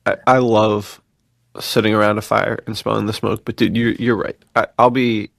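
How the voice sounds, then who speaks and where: A man's voice: on the low side, little pitch movement, moderate pace, gravelly, a bit bright, dry recording, clean studio sound. A man co-hosting a podcast, telling stories in an enthusiastic, slangy, laid-back casual chat. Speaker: podcast co-host.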